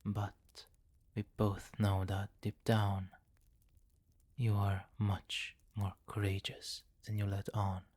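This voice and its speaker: A man playing a possessive, commanding vampire king in an intimate ASMR fantasy roleplay, speaking formally and deliberately, with courtly, doting authority. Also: on the low side, completely flat delivery, darkish tone. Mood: probing, smooth.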